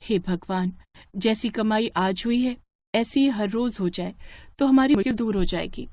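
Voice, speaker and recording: A woman voicing an anxious, loving old village mother, tender and resigned, in an animated moral story. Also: medium pitch, normal speed, lightly acted. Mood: relieved, hopeful.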